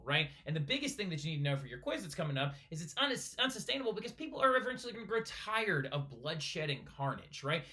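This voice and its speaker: Guy teacher talking fast thru a history lesson, kinda high voice, keeps saying right?. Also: bright, forceful tone. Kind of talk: recorded lecture for a flipped class.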